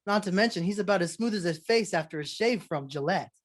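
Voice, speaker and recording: Radio co-host, 1940s announcer style; medium-pitched, scripted, presentational. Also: expressive, fast.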